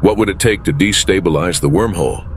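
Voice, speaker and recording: A man acting in an audio drama as a calm, principled starship captain, measured and authoritative, with rumble behind him. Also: soft background music. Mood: thoughtful, decisive. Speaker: lead actor playing a starship captain.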